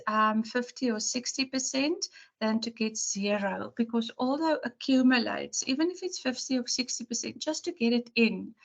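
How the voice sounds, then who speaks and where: A woman gives an online university lecture in a hoarse, croaky voice with a gravelly edge. She pitches a little high for a woman and talks in a chatty, rambling way, often apologizing. She is heard over a video call. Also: low-quality recording.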